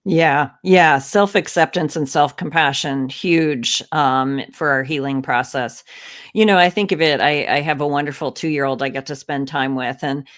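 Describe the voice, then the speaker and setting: A woman speaking as a podcast guest, answering in a calm, earnest, reflective way. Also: deep voice, normal speed.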